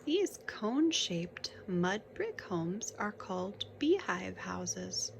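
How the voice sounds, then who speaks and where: A woman reads aloud to young children at a slow, measured pace, in a mid-pitched voice. She is instructive, moving between the book's text and casual questions that point out the pictures. Clear background noise runs through the recording. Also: very animated. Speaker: children's picture book reader.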